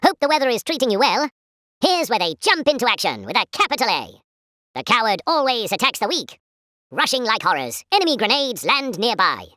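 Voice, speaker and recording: A woman's voice: a little high, bright, forceful tone, dry recording. A woman playing a pompous, chipper, patriotic British newsreel announcer in 1940s style, speaking formal theatrical commentary for a game. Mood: jolly, rousing, then solemn, indignant.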